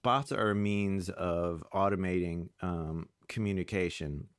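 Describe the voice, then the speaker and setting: A man being interviewed, explaining things conversationally in a halting way and often voicing imagined dialogue. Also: sits low, clean tone, no room sound, studio quality. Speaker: interview guest, product manager.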